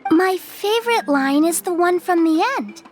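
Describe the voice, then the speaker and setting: A woman's voice: extremely high pitch, expressive, normal speed, soft background music. A woman voicing a sweet, polite, frail little girl in a video game. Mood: shy, earnest. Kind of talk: video game character dialogue.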